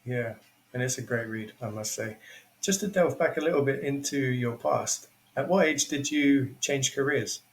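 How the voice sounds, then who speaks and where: A man's voice: medium pitch, normal speed, a little hiss or hum. A man in his twenties hosting a podcast, encouraging his guest and asking long questions. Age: 20s. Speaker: podcast host. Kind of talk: podcast interview.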